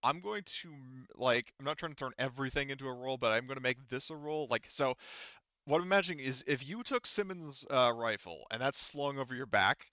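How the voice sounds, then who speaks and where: Bright, clear-voiced male game master. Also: mid-range voice, lively intonation, no room sound, lo-fi sound. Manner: halting, thinking aloud. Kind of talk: casual improvised tabletop game narration.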